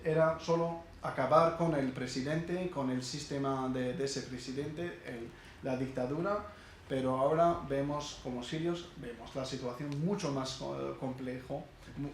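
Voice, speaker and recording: A middle-aged man from Syria gives a halting personal testimony, full of pauses and restarts. His voice sits at an ordinary pitch and carries a heavy echo from a lecture hall, with faint noise underneath. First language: Arabic. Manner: halting, many hesitations and restarts. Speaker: speaker at a university event.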